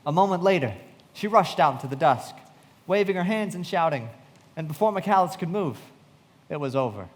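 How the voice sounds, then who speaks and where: Actor as a sombre storyteller guy in a radio play, highish voice, hall reverb. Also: expressive, close to an everyday voice. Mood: solemn. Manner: measured, solemn storytelling. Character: sombre young male narrator recounting a tragedy. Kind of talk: dramatic scripted narration.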